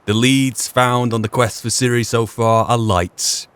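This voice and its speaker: A man's voice: medium pitch, steady tone, unhurried, a bit bright, smooth, no echo. A man giving reflective commentary on a role-play video game playthrough, weighing things up and posing rhetorical questions. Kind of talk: musing gameplay commentary.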